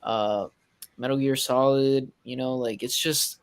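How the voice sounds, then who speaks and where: A man's voice: medium pitch, fairly even intonation, unhurried, clear, resonant tone. A man co-hosting a podcast, giving casual, emphatic and exasperated opinions on films. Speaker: podcast co-host. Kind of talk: casual film review chat.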